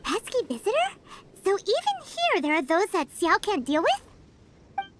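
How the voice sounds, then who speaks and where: Squeaky, tiny childlike fairy companion. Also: female voice, wildly swooping pitch, measured pace, noisy background, full character voice. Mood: curious, surprised. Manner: perky, blunt, exclamatory. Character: small chirpy childlike fairy companion, cheeky and pushy. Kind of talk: anime-style video game acting.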